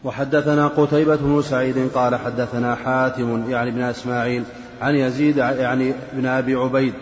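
A man reading texts aloud as a student, in a slow, measured recitation. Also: medium pitch, flat delivery, a little hiss or hum. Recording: echoey hall, dull lo-fi, off-mic.

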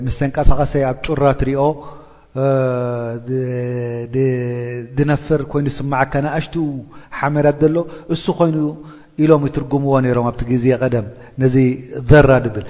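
A male preacher with a mellow, warm voice at a middle pitch, teaching a religious lesson. He intones scripture and then explains it, pausing to toss rhetorical questions to the room. The recording is dull and lo-fi, with a long reverb trailing each phrase. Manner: asks the listeners rhetorical checks, intones quoted verses. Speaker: Islamic preacher explaining a Quran chapter.

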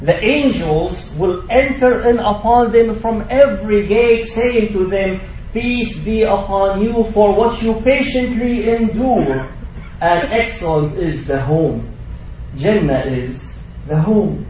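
Male imam, high voice, heard from a distance in a roomy, booming prayer hall; sermon delivery. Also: takes it slowly, darkish tone, slight background noise. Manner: preaching with rising emphasis, Arabic recitation between English. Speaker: imam giving a Friday sermon. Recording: distant echoey mosque recording, dull.

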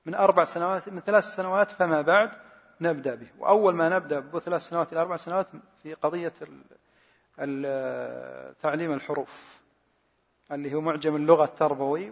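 A religious teacher taking questions after a class in a big echoing hall, a husky man repeating himself haltingly, caught on a muffled recording. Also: a little high. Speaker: lecturer answering questions on Quran study.

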